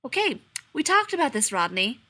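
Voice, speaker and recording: A man's voice: extremely high pitch, very animated, fairly quick, bright, forceful tone, full character voice. A woman voicing a laid-back male air force colonel who drawls his words and keeps a dry tone. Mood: wry.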